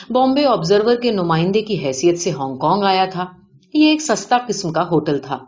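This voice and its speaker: A woman reading a scripted short story as an audiobook narrator, in a recording with dull, band-limited sound. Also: lowish voice.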